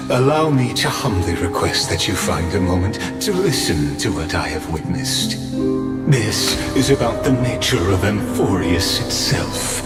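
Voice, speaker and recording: Slow, low-voiced synthetic scholar. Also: male voice. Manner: theatrical, eloquent, formally polite. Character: grandiose, courteous synthetic male scholar and witness. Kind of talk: scripted game dialogue. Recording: roomy echo, music behind.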